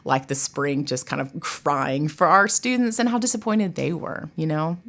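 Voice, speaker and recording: Casting: woman, low register, expressive and emphatic conversational delivery, college drama professor type on a podcast. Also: normal speed. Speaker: college theatre director and professor, podcast guest. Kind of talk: casual interview chat.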